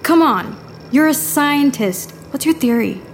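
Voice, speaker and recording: A woman's voice: high-pitched, animated, unhurried, slight background noise. An actress playing an eager, inquisitive young lab assistant in an audio drama, probing as she talks. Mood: pressing, curious. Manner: probing, pressing with questions. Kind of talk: full-cast audio drama acting.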